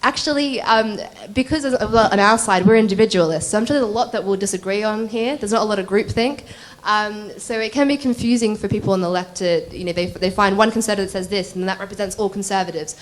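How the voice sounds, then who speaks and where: A woman speaking on a debate panel in casual remarks, quick and full of fillers. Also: medium pitch.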